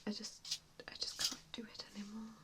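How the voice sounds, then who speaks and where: A woman whispering an ASMR roleplay as a gentle vampire mentor, with a hesitant, reassuring and softly spoken manner. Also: medium pitch, completely flat delivery, normal speed. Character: gentle, self-doubting female vampire mentor to new recruits.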